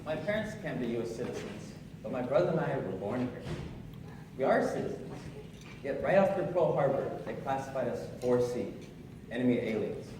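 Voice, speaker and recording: An actor playing a young Japanese-American sergeant earnestly recounting camp life in a live 1940s-style radio play. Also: male voice, medium pitch, lively intonation, moderate pace, lightly acted. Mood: sober, earnest. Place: theatre stage, live performance. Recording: roomy stage recording, distant mic, noisy.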